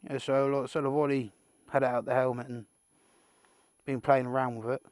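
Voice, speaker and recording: A man's voice: medium pitch, flat delivery, a little fast, a little mellow, dry recording. British man, casual unboxing chat, dry sound. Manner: rambling, muttering asides to himself, mildly sweary. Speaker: amateur video creator presenting an unboxing.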